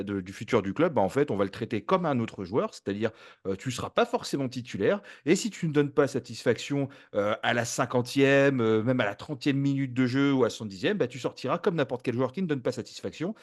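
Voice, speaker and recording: A man hosting a casual football fan show, halting and wordy, often circling back to rephrase. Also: mid-range voice, lively intonation. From France. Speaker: host of a football fan channel. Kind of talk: casual sports chat.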